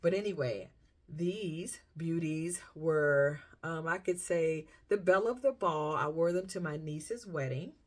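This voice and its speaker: A middle-aged woman from South Carolina speaks with a Southern US accent in a fairly low voice. She talks casually, like a fashion vlogger sharing a haul. Her storytelling is chatty, and she voices her own inner reactions along the way. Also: measured pace. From South Carolina, United States.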